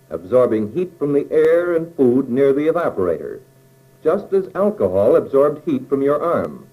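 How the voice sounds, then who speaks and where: An American man reading scripted instructional narration for a training film in a measured, explanatory way, with plain phrasing. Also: medium pitch, warm tone, poor audio.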